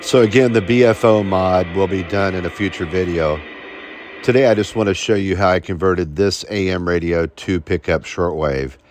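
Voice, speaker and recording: Very deep-voiced American man in his fifties. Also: no room sound. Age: 50s. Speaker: hobbyist video host, radio restorer. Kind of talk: explanatory how-to narration.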